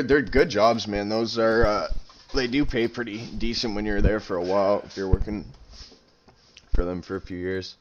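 A man's voice: lowish voice, dull audio, slight background noise. A man streaming a shooter game from home, chatting loosely with teammates, joking and swearing, talking into a headset mic. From Canada. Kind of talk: casual gaming chat with teammates. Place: small room at home.

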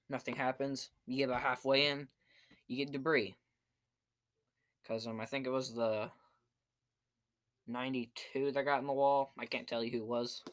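A man's voice: medium pitch, fairly bright tone. A young man talking casually in a vlog recap, in short piecemeal phrases, at a desk in a small room. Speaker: amateur race reviewer. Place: small cluttered room indoors.

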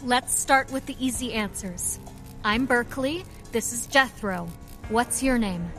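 A woman's voice: fairly high pitch, unhurried, fairly bright tone. Plucky lady vigilante in an audio drama, caring n a bit wry, takes her time, music underneath. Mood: practical, concerned. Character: plucky masked woman vigilante, practical and caring.

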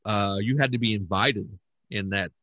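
A man hosting a podcast, talking casually in a rambling, halting way. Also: lowish voice, bright, forceful tone, no room sound. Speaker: podcast host. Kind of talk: casual conversation.

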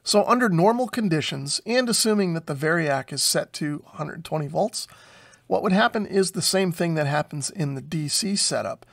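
A man's voice: a little high, expressive, moderate pace, creaky, fairly bright tone. An American man in his forties giving an informal technical tutorial in a methodical, explanatory way. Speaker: electronics repair video host. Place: small unfinished basement workshop.

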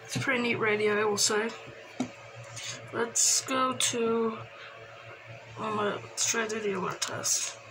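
A woman casually showing off a hobby collection, halting and trailing off, recorded on a handheld phone indoors. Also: a little high, steady tone. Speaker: video host showing a personal radio collection. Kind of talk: casual hobby show-and-tell. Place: small cluttered room indoors. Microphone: handheld phone camera. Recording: clicky, noisy handheld home recording.

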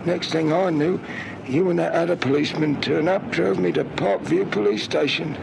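An actor playing an elderly, poor, humble man in a radio drama, rambling and halting in rustic ungrammatical speech. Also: on the high side, steady tone, gravelly. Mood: rambling, earnest. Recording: noisy old radio drama recording.

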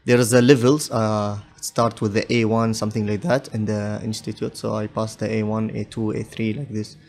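Arabic-speaking man, low flat voice. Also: fairly quick, creaky. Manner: hesitant, many fillers and restarts. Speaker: podcast guest. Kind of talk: casual interview chat. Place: small home studio with sneaker shelves. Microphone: studio mic on boom arm, headphones.

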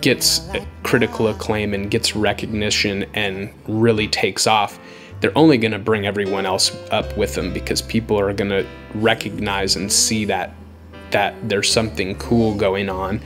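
A man's voice: on the low side, flat delivery, normal speed. A man in his thirties talking in an interview in a recording studio. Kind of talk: documentary interview. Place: recording studio control room. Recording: guitar music playing behind.

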